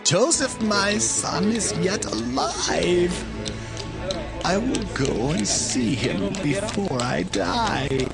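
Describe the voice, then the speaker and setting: A man playing an aged, devout patriarch father, deeply moved, in dramatized scripture audio with halting, emphatic delivery. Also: lively intonation, creaky, exaggerated voice. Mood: overjoyed, resolved. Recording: loud music under the voice.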